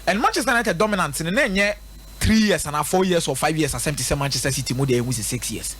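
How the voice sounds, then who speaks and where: The high, raspy Ghanaian man you would hear on a sports radio show, emphatically breaking down a football club's form, his voice leaping up and down. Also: animated, fast, a bit bright. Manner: emphatic, rhetorical, repeats points for effect. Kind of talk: live football commentary and analysis, mixing local language and English.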